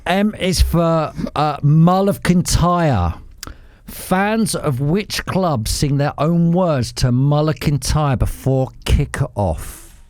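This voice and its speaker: Male quiz host, mellow tone, playful and mock-officious, trading banter between football questions. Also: a little high, animated, slow, no echo.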